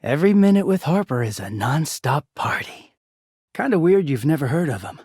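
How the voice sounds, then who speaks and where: A man's voice: fairly high pitch, sing-song, moderate pace, husky, no room sound, studio quality, exaggerated voice. A man voicing a bitter, exasperated, sardonic, foul-mouthed young male living-laundry character in a video game. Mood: sullen, sardonic. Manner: sardonic, foul-mouthed, swinging from muttering to shouting.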